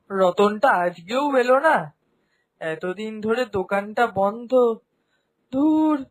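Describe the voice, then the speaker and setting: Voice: mid-range voice, natural voice, poor audio. An actor playing a humble, aging male village snack seller in a cartoon, with folksy, plaintive speech and a sighing manner. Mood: fretful, puzzled. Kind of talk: Bengali cartoon voice acting.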